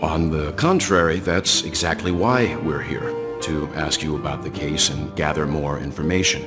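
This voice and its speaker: An actor playing a calm, courteous, perceptive middle-aged investigator in a video game, speaking in measured, formal phrases. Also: male voice, a bass voice, long reverb tail, over loud music. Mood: polite, diplomatic.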